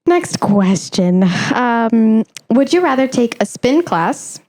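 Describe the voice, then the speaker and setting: A woman's voice: on the high side, very animated, slow, darkish tone. A woman hosting a podcast in casual, playful chat, teasing and mock-indignant in manner. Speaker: podcast host.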